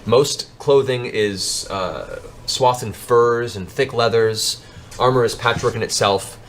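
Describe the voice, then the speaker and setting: A man's voice: mid-range voice, slow pace, a bit bright, a little hiss or hum. A man working as game master, improvising descriptive, dramatic fantasy narration for a tabletop game.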